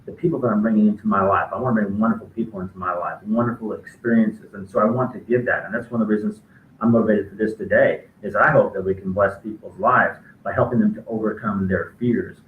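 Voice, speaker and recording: A man's voice: fairly low pitch, fairly even intonation, quick pace, phone-quality sound. Male host, rambling and playful, echoey room with light noise. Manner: rambling, searching for words, playful. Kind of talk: casual conversation.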